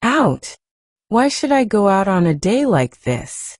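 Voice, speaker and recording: A woman's voice: mid-range voice, big pitch swings, takes it slowly, no echo, studio quality. A woman voicing a cold, indifferent little rich girl in a storybook reading, curt and stubborn. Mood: reluctant.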